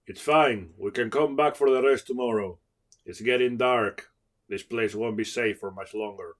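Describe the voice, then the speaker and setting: A man voicing a tired, cautious young male survivor in a dramatic story reading, lightly gruff and firm. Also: mid-range voice, normal speed, natural voice. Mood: calm, reassuring. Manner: firm, matter-of-fact.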